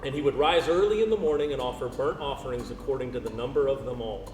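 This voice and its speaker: A man's voice: mid-range voice, fast talker. Echoey male narrator of a Bible drama. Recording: echoey hall, distant, clear music behind.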